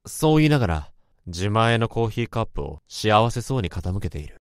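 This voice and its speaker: An actor playing a male office worker who can hear thoughts, narrating a radio drama in a measured, introspective way. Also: lowish voice, sing-song, smooth, no room sound, clean studio sound. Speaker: narrator and lead character.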